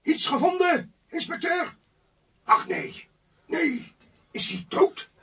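An actor playing a shocked, hesitant man in a radio drama, with a raspy, creaky voice. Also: very high voice, very animated, slow pace, a bit bright, exaggerated voice.

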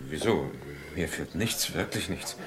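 Male, radio drama, nervous secretary, deep voice, slow halting delivery, evasive, subdued. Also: expressive. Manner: hesitant, stammering, subdued. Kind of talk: German crime radio play acting. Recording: faint background noise.

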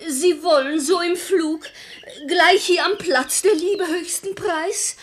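A married lady of a classic radio comedy in verse. Her voice is high and her pace slow and measured. She sounds coy and theatrical, a clever wife feigning reluctance. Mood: coy, flustered. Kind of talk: German radio play verse comedy acting.

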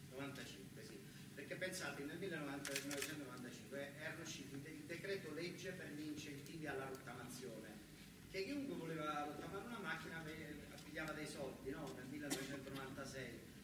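Actor as southern Italian worker, very echoey, distant. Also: male voice, on the high side, heavy background noise, close to an everyday voice. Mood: explaining, wry. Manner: colloquial, halting, repeating words for emphasis. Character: earnest southern Italian factory worker and young father recalling his life. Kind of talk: theatrical storytelling monologue.

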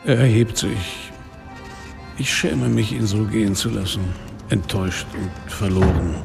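A man's voice: lowish voice, unhurried, vocal fry. A man playing a sardonic, world-weary police commissioner in a radio drama, telling his case in a dry, literary, ironic way. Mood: wry. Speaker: narrator and lead actor. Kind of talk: radio drama narration and dialogue. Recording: studio radio drama, reverberant, music underneath.

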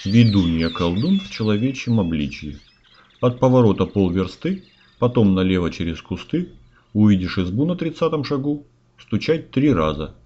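A man narrating a rhymed fairy tale from a script, on a muffled, noisy recording. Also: on the low side. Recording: muffled audio with clear noise, clicks.

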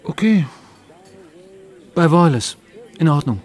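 An actor playing a withdrawn, reluctant writer in a radio drama, speaking in terse, hesitant replies. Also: male voice, a little high, very animated, takes it slowly. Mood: resigned. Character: reluctant, withdrawn male writer who quit his job. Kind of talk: naturalistic radio drama dialogue.

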